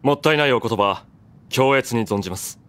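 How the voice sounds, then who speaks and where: An actor playing a composed, polite male military colonel who speaks formally and calmly in an anime drama CD. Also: mid-range voice, moderate pace, close to an everyday voice.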